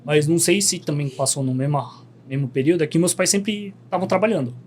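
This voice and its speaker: Relaxed young São man, slangy. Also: fairly high pitch, fast, a little hiss or hum. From Brazil. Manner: laid-back storytelling, slangy, animated. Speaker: podcast guest. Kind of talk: casual podcast chat.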